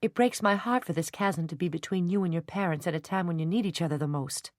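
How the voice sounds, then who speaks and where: A woman voicing a loyal, fiery young woman who speaks earnestly and turns sharp and indignant. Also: fairly low pitch, fast, no echo, clean studio sound, natural voice. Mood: tender.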